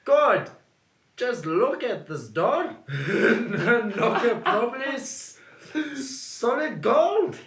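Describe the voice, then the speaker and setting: A man's voice: very high-pitched, very animated, pitched above the performer's own voice, exaggerated voice. A man doing a cursing greedy young heir. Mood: grumbling. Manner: loud, slow and theatrical exclaiming. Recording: echoey room with noise.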